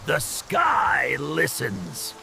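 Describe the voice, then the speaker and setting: A man's voice: a little high, huge pitch swings, extremely slow, vocal fry. A man telling a fairy tale in a solemn, dramatic storytelling style. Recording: loud music behind the voice.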